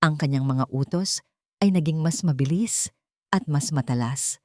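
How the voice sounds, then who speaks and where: Female Filipino storyteller, lower register, scripted dramatic narration, close dry recording. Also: unhurried, warm tone, dry recording.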